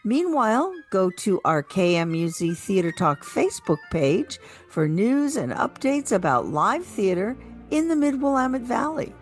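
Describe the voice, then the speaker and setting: Lively-pitched woman co-hosting radio. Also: a little slow, soft background music. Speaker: radio show co-host. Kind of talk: radio hosting and scripted announcements.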